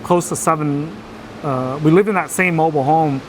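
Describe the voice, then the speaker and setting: A man's voice: fairly high pitch, normal speed, noisy background. A man from Vietnam, a guest telling his life story in a casual interview, with many fillers and restarts.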